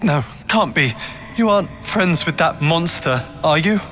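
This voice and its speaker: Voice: mid-range voice, animated, measured pace, a bit bright. An actor playing a shaken, wary young soldier in a video game cutscene. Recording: music behind the voice.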